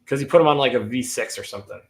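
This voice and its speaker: A man hosts a relaxed car-talk livestream and rambles through his thoughts in quick bursts, often restarting mid-sentence. His voice sits at an ordinary middle pitch, and a faint hiss runs under the recording. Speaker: livestream host. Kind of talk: casual car chat.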